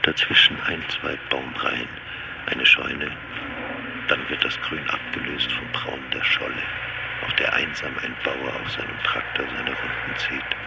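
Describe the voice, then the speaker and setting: A man's voice: rumbling low, steady tone, unhurried, creaky, over loud music, full character voice, rough recording. An actor playing a brooding man who dictates terse, detached observations in a radio drama monologue. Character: brooding man dictating sparse notes on landscapes.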